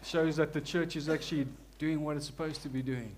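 A man with a South African accent preaching a church sermon, earnest and humble, with frequent restarts and softer, slower prayer passages. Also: on the high side, fairly even intonation, slight background noise. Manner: prays softly and slowly. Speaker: lay preacher.

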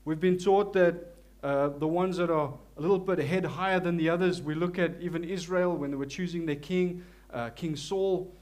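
A man with a South African accent preaching a church sermon, earnest and humble, with frequent restarts and softer, slower prayer passages. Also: a little high. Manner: prays softly and slowly. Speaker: lay preacher.

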